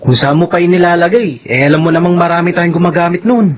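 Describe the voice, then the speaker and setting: A man's voice: high-pitched, fast, muffled recording, close to an everyday voice. A Filipino man playing a bossy, studious teenage older brother in a radio drama, admonishing his sibling. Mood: nagging.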